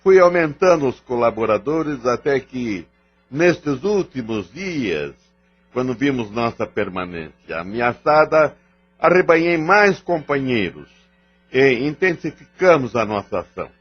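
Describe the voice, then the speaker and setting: A man's voice: mid-range voice, very animated, a little slow, breathy edge. An actor playing a proud, cunning malevolent male spirit, a gang chief, defiant and boastful in an audio drama. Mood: grudging, proud. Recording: muffled lo-fi audio drama recording. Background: background music.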